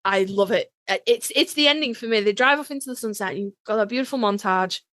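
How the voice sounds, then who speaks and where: A woman co-hosting a podcast, chatting casually as a fan in an animated, emphatic, joking way with plenty of swearing. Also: medium pitch, fast talker, dry recording. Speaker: podcast co-host. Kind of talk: casual fan chat about a TV series.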